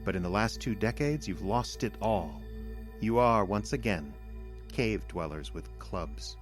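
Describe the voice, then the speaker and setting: An actor playing a cold, patient, condescending alien invader in an audio drama, lecturing in a measured, smug way with contempt for humanity. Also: male voice, lowish voice, expressive, soft background music. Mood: smug, ominous. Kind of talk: audio drama villain monologue.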